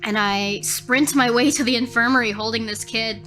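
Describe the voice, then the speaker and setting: A woman's voice: a little high, moderate pace, pushed and bright, low-quality recording. A woman improvising horror story narration as a player in a tabletop game, thinking aloud expressively. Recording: music behind the voice.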